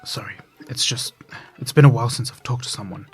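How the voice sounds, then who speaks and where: An actor playing a world-weary failed musician in hiding, fatalistic and bitter, in an audio drama dialogue. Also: male voice, mid-range voice, brisk, close to an everyday voice. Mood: resigned, hushed. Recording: music bed under voice.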